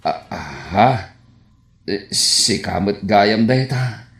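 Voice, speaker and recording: In an echoey radio comedy, a painfully shy young bachelor with an Ilocano accent. He talks slowly and haltingly, stammering and flustered, with a voice that swings up and down. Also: fairly low pitch, animated, gravelly, fairly bright tone, soft background music, exaggerated voice. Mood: awkward, shy.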